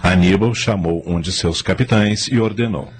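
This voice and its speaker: A man's voice: low voice, normal speed, breathy edge. A man narrating a radio drama in a scripted, storytelling style.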